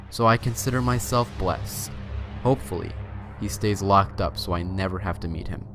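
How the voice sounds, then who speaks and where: A man's voice: on the low side, a bit bright. A man hosting and reading a scary story as narration, with a theatrical, suspenseful delivery. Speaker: host and story reader.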